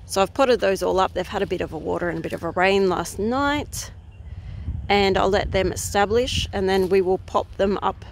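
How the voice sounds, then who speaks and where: Relaxed woman filming her garden. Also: mid-range voice, a little hiss or hum. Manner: relaxed, thinking aloud with many ums. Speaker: gardening vlogger. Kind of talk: casual garden walkthrough. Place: outdoor rural garden. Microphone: phone held at arm's length.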